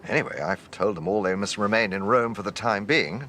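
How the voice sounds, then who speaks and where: An actor playing an urbane gentleman police detective in a radio drama, with a British accent and a courteous, probing, dryly amused manner. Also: on the low side, fairly quick, slight background noise. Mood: reflective.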